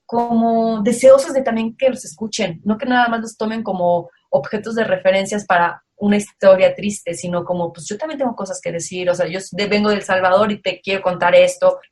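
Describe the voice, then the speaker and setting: A woman with a Mexican accent, a documentary filmmaker talking casually as an interview guest, with many fillers and restarts. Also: fairly high pitch.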